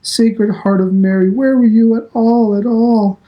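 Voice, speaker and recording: A man voicing a shrill, pious mother in wailing alarm, like a pantomime dame. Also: fairly even intonation, measured pace, muffled recording. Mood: frantic scolding. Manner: wailing exclamations.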